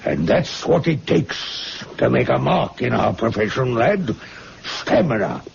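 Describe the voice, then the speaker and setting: Very gravelly, crafty, jovial senior doctor. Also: male voice, mid-range voice, sing-song, unhurried, exaggerated voice. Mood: hearty. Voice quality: gravelly, creaky. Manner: hearty, repeats phrases, chuckling. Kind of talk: old-fashioned radio drama acting. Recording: dull, noisy old radio recording.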